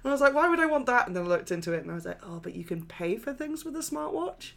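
A woman co-hosting a podcast from her flat, rambling through funny stories and laughing often. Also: a little high, racing, creaky. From England. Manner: giggly, rambling storyteller. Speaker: podcast co-host. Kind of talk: casual chat. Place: home flat.

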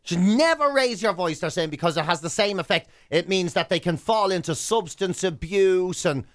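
Higher-voiced Irish man in comic radio banter. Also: lively intonation, moderate pace, no room sound, clean studio sound. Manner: rambling, acts out imagined dialogue. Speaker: radio breakfast show co-host. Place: radio studio. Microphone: close studio microphone.

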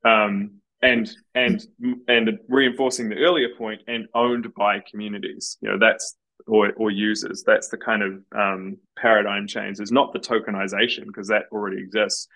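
A man's voice: fairly low pitch, flat delivery, normal speed, pushed and bright, rough recording. A man as a podcast guest, confident and explanatory, building on the host's points. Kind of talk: casual podcast interview.

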